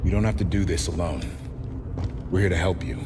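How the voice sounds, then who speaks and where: A loyal soldier played by a man appeals earnestly in a deep voice for a video game. The tone is pleading, and loud noise sits behind the voice. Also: brisk, lightly acted. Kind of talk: dramatic video game acting.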